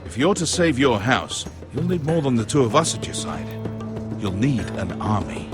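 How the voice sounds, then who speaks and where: An actor playing a stern older mentor warrior in a fantasy game, grave and commanding in manner. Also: male voice, medium pitch, lively intonation, normal speed, over music, natural voice. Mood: urging. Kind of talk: dramatic video game dialogue.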